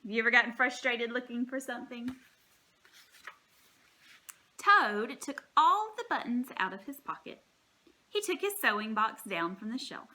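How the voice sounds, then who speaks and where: Bright, animated woman reading to children. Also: on the high side. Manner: deliberate, emphatic phrasing. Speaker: teacher reading a story aloud to children. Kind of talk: expressive children's read-aloud.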